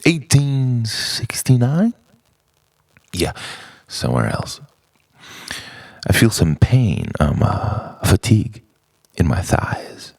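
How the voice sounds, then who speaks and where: Slow, expressive man reciting spoken-word poetry. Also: fairly low pitch, gravelly, dry recording. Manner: dramatic, deliberate phrasing with pauses. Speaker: poet.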